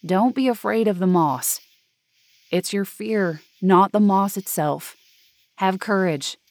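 A man's voice: sits high, takes it slowly, no room sound. A man acting a solemn, cryptic guardian. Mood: solemn, reassuring. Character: cryptic, calm guardian of a supernatural veil. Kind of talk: audio drama dialogue.

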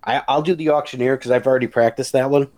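A man on a group call, matter-of-factly listing the reading roles in a plain, even voice. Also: mid-range voice, fast talker. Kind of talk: casual chat.

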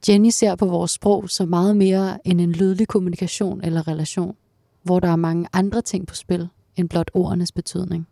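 A woman narrating a documentary from a script in an even, measured read. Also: fairly low pitch, vocal fry, no echo, studio quality.

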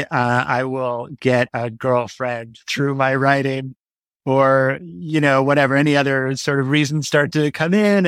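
A man's voice: medium pitch, smooth, no echo. A man speaking as an interview guest, a writer answering in a reflective, conversational way and searching for his words.

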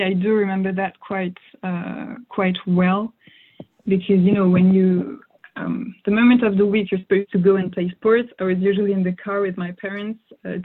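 A young woman whose first language is French, answering interview questions thoughtfully with frequent fillers and restarts. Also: medium pitch. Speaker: interview guest. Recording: phone-like narrow sound.

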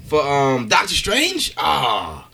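Podcast co-host, man, ordinary middle pitch, rambling, jokey, casual delivery. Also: wildly swooping pitch, slow, vocal fry, over a noisy crowd. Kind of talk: casual chat.

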